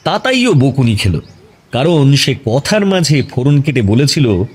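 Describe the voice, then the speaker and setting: A man narrating a scripted audio story in an expressive storytelling style. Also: mid-range voice, measured pace, breathy edge.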